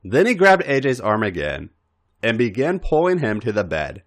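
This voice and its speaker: A man reading a story aloud as expressive, scripted podcast narration. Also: mid-range voice, normal speed.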